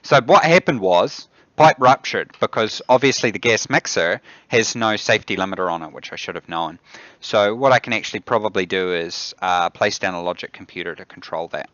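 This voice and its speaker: A man in his thirties with a New Zealand accent, a game developer thinking aloud on a live stream, halting and trailing off into mutters. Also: fairly low pitch, fairly bright tone, no room sound, lo-fi sound. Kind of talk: casual live commentary while playing.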